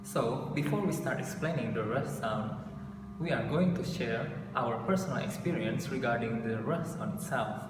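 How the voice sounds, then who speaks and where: A man's voice: medium pitch, moderate pace. Young man, Indonesian accent, earnest student presenter, distant roomy mic with music bed. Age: young adult. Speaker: English literature student. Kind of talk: class video presentation. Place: classroom, indoors. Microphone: camera mic from a few meters. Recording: echoey room, distant mic, music underneath.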